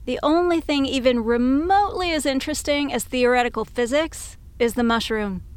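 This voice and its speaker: High and very smooth, a woman plays a nerdy scientist in a fiction podcast. She is dry, matter-of-fact and unfazed. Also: moderate pace, lightly acted. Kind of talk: naturalistic audio drama acting.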